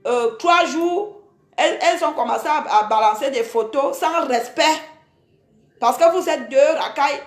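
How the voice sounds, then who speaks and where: A woman's voice: high voice, roomy sound. A woman in her forties, from Cameroon, ranting indignantly at a rival, scolding and repeating herself. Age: 40s. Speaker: social media personality. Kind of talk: angry Facebook live rant.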